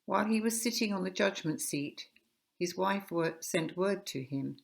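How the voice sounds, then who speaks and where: A woman's voice: medium pitch, expressive, normal speed, vocal fry. A woman narrating scripture from a script, recorded at home.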